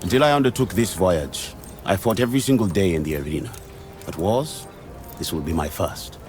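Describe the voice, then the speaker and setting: Deep-voiced, grim gladiator. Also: male voice, animated, a little fast, a little mellow, soft background music. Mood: stoic. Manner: terse, solemn. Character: grim, blunt Mauretanian gladiator, proud loner. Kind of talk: scripted game dialogue.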